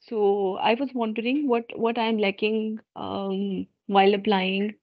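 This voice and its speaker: Brief: woman, mid pitch, hesitant questioner, dry and dull video-call audio. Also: steady tone, measured pace, no echo. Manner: hesitant, many fillers and restarts. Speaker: student asking a question. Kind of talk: question in online seminar.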